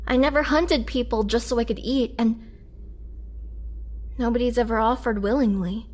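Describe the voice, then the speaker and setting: A woman playing a weakened, imprisoned vampire in a roleplay audio drama, gentle and polite, speaking hesitantly with soft, whispery breath and a pleading earnestness. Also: on the high side, steady tone, normal speed, close to an everyday voice. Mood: hesitant, surprised. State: weak, drained. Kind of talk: girlfriend roleplay audio drama, intimate acting.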